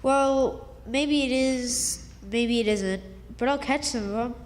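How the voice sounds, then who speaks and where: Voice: medium pitch, slow pace, lightly acted. A performer playing a plucky, skeptical country boy in a stage radio drama, talking in a plain, folksy dialect. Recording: reverberant hall, live stage performance.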